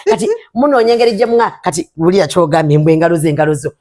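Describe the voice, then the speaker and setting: A young woman with a Ugandan accent, an interview guest who talks back forcefully and defensively, switching between English and Luganda. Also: fairly low pitch, expressive. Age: young adult. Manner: forceful, defensive, fast-talking. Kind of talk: heated casual interview.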